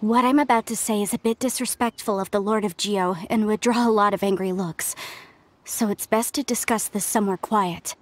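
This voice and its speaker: A woman's voice: mid-range voice, steady tone, moderate pace, a little hiss or hum, lightly acted. An actress playing a polite, earnest and inquisitive female scholar in a video game, speaking deferentially. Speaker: game character voice actor. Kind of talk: scripted game dialogue.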